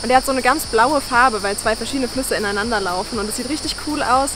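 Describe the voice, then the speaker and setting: Quick young woman with highish voice. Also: noisy background. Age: young adult. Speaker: travel vlogger. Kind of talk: casual vlog update. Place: outdoors in forest.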